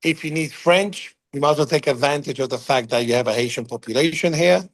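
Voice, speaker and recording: A man being interviewed as a company chief, talking in quick bursts full of fillers and restarts. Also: a little high, no echo. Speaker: CEO of a contact center firm, guest. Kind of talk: business interview, unscripted.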